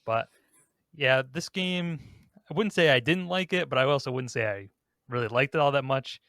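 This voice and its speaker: A man's voice: medium pitch, moderate pace, bright, forceful tone, dry recording, clean studio sound. A man hosting a podcast, talking casually in a discussion. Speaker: podcast host.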